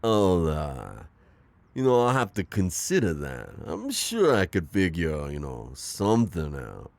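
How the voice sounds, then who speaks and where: A man's voice: sits low, huge pitch swings, measured pace, gravelly, darkish tone, dry recording, full character voice. Man acting a smitten, lilting mafia boss. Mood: playful, flirtatious. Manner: hesitant, rambling, flirtatious murmur. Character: smitten, charming mafia boss courting a date. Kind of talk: ASMR roleplay acting.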